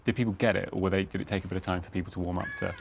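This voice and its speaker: A man's voice: deep voice, steady tone, rapid-fire, gravelly, a little hiss or hum. A man hosting a casual interview, tentatively rephrasing his questions as he goes. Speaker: podcast host, interviewer.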